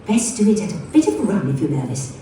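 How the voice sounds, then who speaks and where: A woman's voice: fairly low pitch, big pitch swings, fast talker, mellow. A woman playing a kindly, reassuring mother in an audiobook drama. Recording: echoey distant playback with faint noise.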